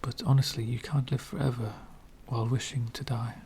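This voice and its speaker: A man playing an elegant, centuries-old vampire gentleman, speaking softly and half whispering in a refined, wistful ASMR roleplay. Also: mid-range voice, little pitch movement, slight background noise, lightly acted. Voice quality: soft, breathy whispering. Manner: refined, wistful, slow and deliberate.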